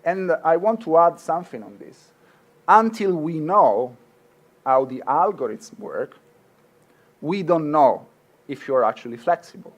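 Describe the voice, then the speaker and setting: A man's voice: on the high side, expressive, unhurried, pauses often, muffled recording. Italian-accented man giving a conference talk. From Italy. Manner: earnest, with frequent fillers and restarts. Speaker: law professor.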